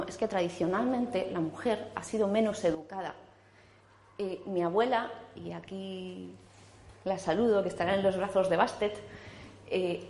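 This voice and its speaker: A woman's voice: mid-range voice, a little mellow, slight background noise. Woman, 40s, Spanish accent, chatty speaker, hall echo. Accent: Spanish from Zaragoza. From Spain. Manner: chatty, many fillers and restarts. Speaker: conference speaker. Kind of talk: casual conference talk. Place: conference hall.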